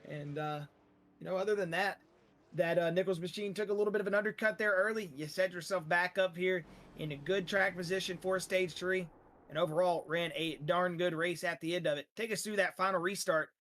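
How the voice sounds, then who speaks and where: High-voiced, hoarse broadcaster man. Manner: energetic, rambling, drawn-out fillers. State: losing his voice. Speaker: sim racing league broadcaster. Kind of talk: post-race driver interviews.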